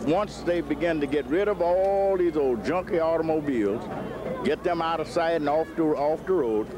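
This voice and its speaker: An American man giving an unscripted interview answer, halting and repeating his words. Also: fairly high pitch.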